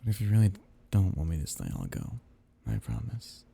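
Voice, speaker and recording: A man playing a tender, possessive vampire count in an intimate ASMR roleplay, speaking in soft, teasing murmurs with a husky, gravelly voice. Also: on the low side, sing-song, fairly quick, exaggerated voice. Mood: affectionate.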